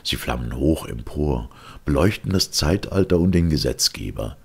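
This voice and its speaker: A man narrating a literary story with solemn, theatrical weight and a gravelly, husky voice. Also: very deep voice, animated, moderate pace. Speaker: audiobook narrator.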